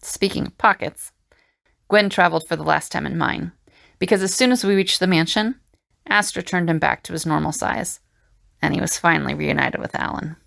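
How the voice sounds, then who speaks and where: Composed heroine played by a woman, slightly low voice with vocal fry, measured audio drama narration. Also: a bit bright, no room sound, studio quality. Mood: wry.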